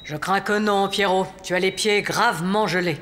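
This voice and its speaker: A woman's voice: medium pitch, moderate pace, a bit bright, slight background noise, close to an everyday voice. Reverberant, authoritative cartoon animal doctor by a woman. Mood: reassuring. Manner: authoritative, reassuring. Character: brisk, kindly female animal doctor in charge. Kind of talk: children's cartoon voice acting. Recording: long reverb, music behind.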